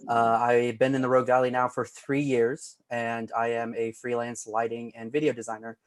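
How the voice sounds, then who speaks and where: American man with even pitch, dry sound. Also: moderate pace, smooth, dry recording. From Orlando, Florida, United States. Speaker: roundtable panelist, lighting and video designer. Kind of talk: casual roundtable introduction.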